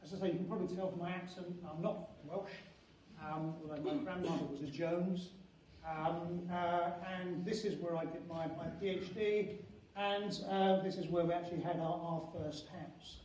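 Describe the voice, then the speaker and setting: An older man giving an academic conference lecture as a professor, halting and restarting phrases, with emphasis on key words. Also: high voice, steady tone, a little mellow. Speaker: university professor and head of geography school. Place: large echoing conference venue indoors. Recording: very echoey hall, distant mic, faint noise.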